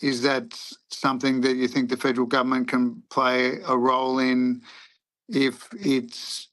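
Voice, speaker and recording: Man, Australian accent, committee chair, halting with fillers, slow, monotone. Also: medium pitch. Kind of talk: chairing a hearing.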